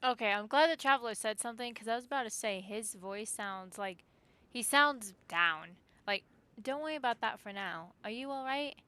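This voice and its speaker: A woman's voice: fairly high pitch, normal speed, pushed and bright, smooth. A woman giving casual livestream commentary as a game streamer, chatting in an informal, rambling way.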